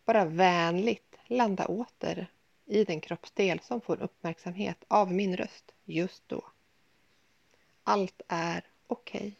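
A woman guiding a yoga nidra body scan in a soothing, gentle, unhurried manner with pauses. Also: lowish voice, creaky, no echo, lo-fi sound. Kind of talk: guided meditation, body scan.

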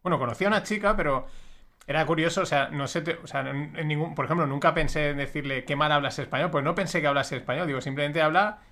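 Bright-voiced male podcast host. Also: a little high, quick pace. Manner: laughs often, acts out quoted remarks. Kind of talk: casual chat.

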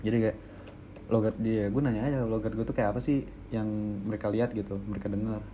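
A young man telling stories in casual, slangy podcast chat, with no regional accent and a relaxed manner. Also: fairly low pitch, quick pace, dull audio, a little hiss or hum. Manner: laid-back storytelling, frequent restarts and laughs. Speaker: podcast co-host.

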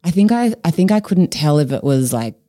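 An interviewer asking friendly questions, eager and quick to exclaim. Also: mid-range voice, big pitch swings, dark tone, no echo, clean studio sound.

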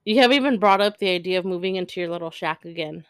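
A woman's voice: on the low side, smooth, dry recording, studio quality. A young woman co-hosting a casual couple's podcast, telling stories candidly. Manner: candid, storytelling, quick laughs. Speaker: podcast co-host.

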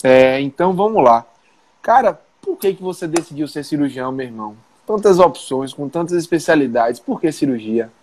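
A man's voice: on the high side, expressive, moderate pace, a little mellow, a little hiss or hum. A man hosting an interview in a friendly, informal way, often checking in with the audience. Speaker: interview host, physician.

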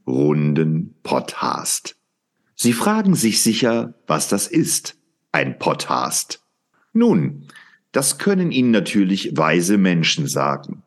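A man narrating a scripted audio play theatrically, addressing the listener directly like a storyteller. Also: low-pitched.

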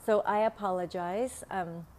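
A middle-aged woman with a Vietnamese accent, whose first language is Vietnamese, hosting a spiritual podcast at home in a slow, halting way with many fillers and soft laughs. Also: medium pitch, fairly even intonation. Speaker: podcast host, spiritual teacher. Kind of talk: casual spoken podcast monologue. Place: home room in a city, window open, passing traffic. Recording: faint traffic noise from open window.

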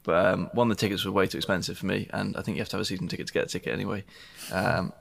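A man from Britain hosting a podcast, talking quickly in a low, gravelly voice. He chats casually and rambles, filling gaps with ums and poking fun at himself. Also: little pitch movement. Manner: chatty, rambling, self-deprecating, with frequent fillers. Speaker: podcast host. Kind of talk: casual chat.

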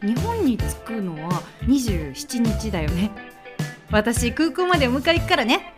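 A sweet young girlfriend acted by a woman speaks softly and longingly on the phone in an amateur radio drama. The voice is high and moves expressively, with faint music behind. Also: very animated, measured pace. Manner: soft, affectionate phone talk. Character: sweet young woman missing her long-distance boyfriend.

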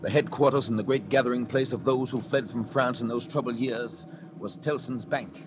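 An actor as a grave storyteller, narrating a historical radio drama in a portentous, rhythmic, deliberate way. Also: male voice, mid-range voice, noisy background, natural voice. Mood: somber. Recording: dull vintage radio, loud music behind.

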